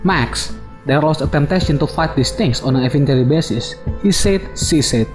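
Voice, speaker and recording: A formal male official acted by a man speaks at a hearing in a radio drama. He is patient and procedural, with a fairly high voice for a man and some music underneath. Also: animated, fairly quick, natural voice. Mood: patient, firm.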